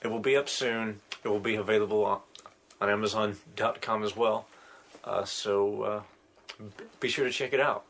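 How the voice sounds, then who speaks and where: Man, slow halting podcast talk, gravelly low voice, bright edge. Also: fairly even intonation. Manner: slow, halting, many ums. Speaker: podcast co-host, musician. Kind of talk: casual podcast chat.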